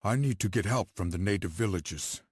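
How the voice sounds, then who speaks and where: An actor playing a wise, grave older frontier hero in a video game, speaking in a measured, solemn way. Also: male voice, sits low, darkish tone, husky. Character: grave, wise old great-uncle, seasoned frontier hero. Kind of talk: video game cutscene acting.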